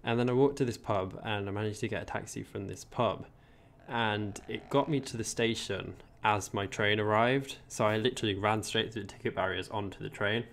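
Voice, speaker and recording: A man's voice: fairly low pitch, moderate pace, creaky, few pauses, fairly bright tone. A young English man chatting casually on a gaming livestream, rambling about his week and answering viewers. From England. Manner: chatty, rambling, reads chat aloud. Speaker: streamer.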